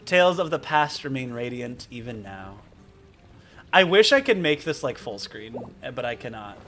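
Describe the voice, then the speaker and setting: A man's voice: medium pitch, big pitch swings, normal speed, pushed and bright, faint music behind. A man giving casual, comedic gameplay commentary as a streamer, talking in a rambling, joking way. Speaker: game streamer.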